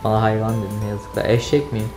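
A man's voice: fairly low pitch, flat delivery, fast talker. A young man chatting casually and teasingly during a guessing game in a living room. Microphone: camera a short distance away. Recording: echoey room with music behind.

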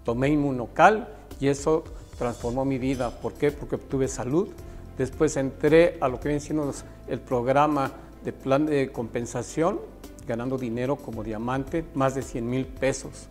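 Middle-aged man, Mexican accent, firm pitch to camera, music under. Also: normal speed, darkish tone. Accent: Mexican Spanish. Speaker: company testimonial speaker. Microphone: lapel mic.

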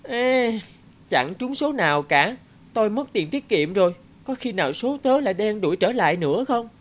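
A woman voicing a superstitious young schoolboy in a cartoon, plaintive and expressive. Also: mid-range voice, normal speed, natural voice. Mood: dismayed. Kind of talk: scripted cartoon dialogue. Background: background music.

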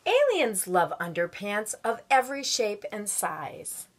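A middle-aged woman reading a children's picture book aloud at home with expressive, drawn-out storytelling delivery. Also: medium pitch. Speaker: volunteer guest story reader. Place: small room at home.

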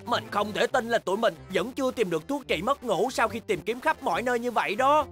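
A woman voicing a grumbling, excitable, sleepless young bear in a children's cartoon dub, with a whiny, dramatic delivery. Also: a little high, brisk. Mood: tired. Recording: background cartoon music.

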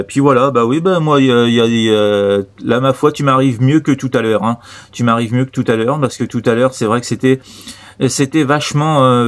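A man from Normandy with a French accent, chatting casually as a radio operator in a hesitant, stumbling way that often repeats itself. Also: mid-range voice. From Normandy, France.

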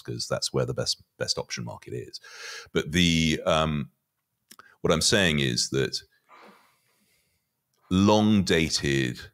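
A middle-aged man giving conversational market commentary as a guest, often halting and repeating words as he thinks. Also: very deep voice, fairly bright tone. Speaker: podcast guest, finance commentator. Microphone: podcast mic on boom arm.

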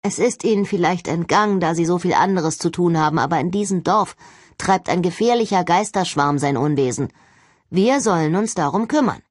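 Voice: mid-range voice, moderate pace, no room sound, clean studio sound, lightly acted. An audiobook reader voicing a cocky, unflappable young male agent with a breezy, mock-polite manner. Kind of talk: audiobook character acting.

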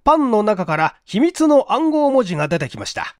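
A man voicing a courteous, earnest police detective chief explaining things in a measured, polite way in an audiobook. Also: high-pitched, big pitch swings, no echo, clean studio sound. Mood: grateful, explanatory. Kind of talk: dramatic audiobook dialogue.

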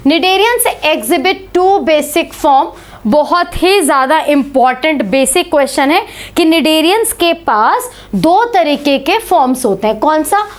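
A high-pitched woman in her thirties gives an online biology lecture in a small studio. Her voice is a touch hoarse from a sore throat, and she keeps throwing questions at her students and nudging them to answer. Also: slow. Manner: animated, asks students questions, calls them beta. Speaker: biology teacher. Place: small indoor studio before a slide board.

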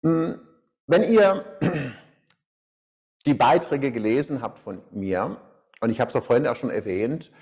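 A middle-aged man from Iran with a mid-pitched voice gives a live online seminar. He speaks as a coach, addressing participants and sharing personal stories into a small lapel mic. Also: expressive, a little slow, pauses often, a little mellow. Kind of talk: live-stream seminar talk, personal storytelling.

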